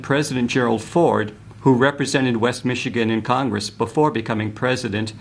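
A man reading a scripted news feature as a reporter, in a measured, deliberate way. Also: mid-range voice, vocal fry.

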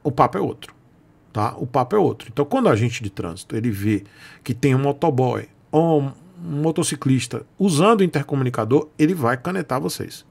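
A man's voice: medium pitch, lively intonation, brisk, creaky, slightly rough. A Brazilian man around his forties chatting casually to camera, blunt and emphatic, with colloquial, repeated short phrases. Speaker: YouTube host answering viewers' questions. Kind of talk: casual advice chat to camera. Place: small home room, indoors.

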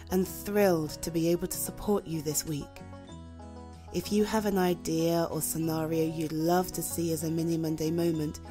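A British woman reading a scripted outro as the host of a podcast. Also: lowish voice.

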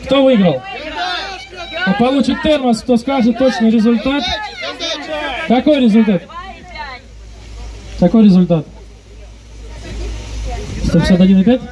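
A man in his thirties hosting a car audio contest outdoors on a handheld mic, loudly calling to the crowd and announcing results. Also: very high voice, unhurried, pauses often. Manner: loud and rousing, calling to the crowd. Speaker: event host.

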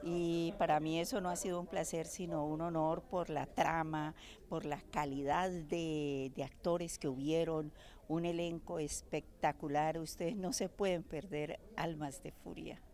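A woman's voice: sits low, steady tone, a little slow, a little mellow. A middle-aged woman, a makeup artist, giving a gracious, promotional red-carpet interview on a handheld microphone. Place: indoor event backdrop.

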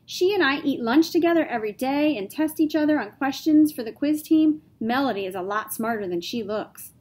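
A woman voicing a sugary, two-faced schoolgirl who sounds bright and boastful. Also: high-pitched, lively intonation, a little fast, pitched above the performer's own voice.

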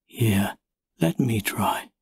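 A man voicing a quiet, tender mother who persuades gently, in an audiobook story. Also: fairly low pitch, lively intonation, extremely slow, gravelly, breathy edge, no echo, full character voice. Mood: gently persuasive. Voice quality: soft, quiet.

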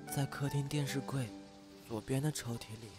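A man playing a meek, soft-spoken young roommate who is weak and feverish, in an audio drama. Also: medium pitch, fairly even intonation, moderate pace, music in the background, close to an everyday voice. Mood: weak, ill. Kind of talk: Chinese audio drama acting.

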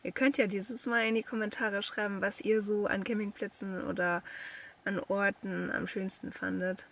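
A woman's voice: mid-range voice, fairly even intonation, moderate pace, vocal fry, fairly bright tone. A young woman chatting casually as a travel vlogger, in an emphatic, colloquial way. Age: young adult. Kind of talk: casual vlog chat. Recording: noisy, distant camera microphone.

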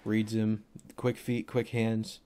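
A young man with a cold and a voice deeper than usual, casually rambling through a football film breakdown with plenty of fillers. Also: monotone, takes it slowly, mellow, clean tone. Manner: rambling, offhand, thinks aloud with many fillers.

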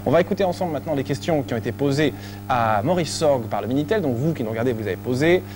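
A man in his thirties hosting a studio interview on a TV set, talking on a lapel mic with brisk, chatty asides. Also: medium pitch, expressive. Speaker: TV host. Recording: noisy 1980s TV broadcast tape.

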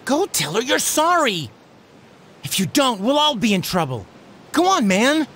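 An actor playing a dramatic, excitable teenage boy in an anime game, whiny and exaggerated. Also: very high voice, a little slow, a little hiss or hum, full character voice. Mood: agitated. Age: young adult. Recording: loud game music behind.

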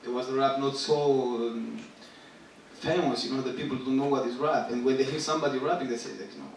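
A young man in his twenties from Syria, a rapper talking on a theater stage, halting and searching for words. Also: mid-range voice, steady tone, far from the mic, slight background noise. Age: 20s. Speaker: rapper and guest artist. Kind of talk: stage talk. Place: large theater stage with live audience. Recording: echoey stage, picked up from afar.

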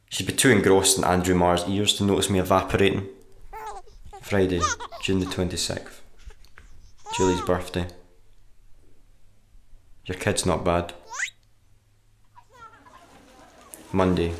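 A man acting in a radio play as a weary, morbidly deadpan struggling writer reading out his diary. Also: low voice, echoey room, slight background noise. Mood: matter-of-fact. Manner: deadpan, dry.

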